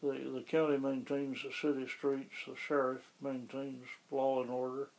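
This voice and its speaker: A man being interviewed about the town where he lives, explaining things in a measured, conversational way. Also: mid-range voice, monotone, darkish tone, poor audio. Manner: measured, explanatory, with occasional restarts. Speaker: interviewee, local resident.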